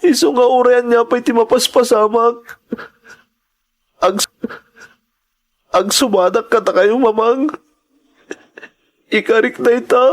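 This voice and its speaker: A man playing a distraught, pleading adopted son in an audio drama, speaking haltingly and emotionally. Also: extremely high pitch, steady tone. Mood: tearful resolve. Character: distraught adopted son, pleading and wounded, longing for motherly love. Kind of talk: Ilocano audio drama acting.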